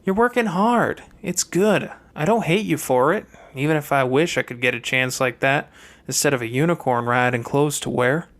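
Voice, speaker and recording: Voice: mid-range voice, normal speed, a bit bright, natural voice. A reader voicing a calculating young girl from a wealthy family in an audiobook, airy and pointed with a haughty disdain. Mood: resentful, grudging.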